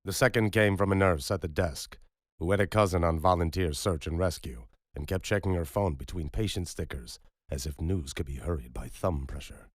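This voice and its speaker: A man narrating a story in a measured, unhurried storytelling manner. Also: deep voice, no echo, clean studio sound. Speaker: audiobook narrator. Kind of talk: scripted narration.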